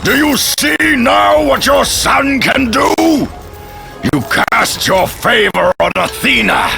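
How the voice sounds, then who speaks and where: An actor playing a boastful, wrathful war god in a video game, declaiming and taunting with grand bombast. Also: male voice, sits high, creaky, heavily acted. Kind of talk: video game villain acting.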